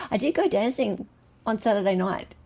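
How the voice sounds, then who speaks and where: An Australian woman hosting a casual live-stream chat, excitable and talkative, reading out viewers' comments. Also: fairly high pitch, slow pace, gravelly, slightly rough. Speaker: livestream host.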